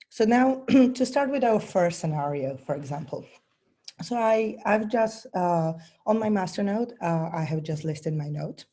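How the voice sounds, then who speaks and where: A woman with a lowish, mellow voice, hoarse from a bad cold. She presents a technical talk over a video call and walks through each step earnestly, pausing on ums and clearing her throat.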